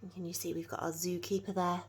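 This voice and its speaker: A woman's voice: fairly low pitch, level tone, quick pace, noisy background. A woman reading a children's story aloud, like a teacher addressing young listeners.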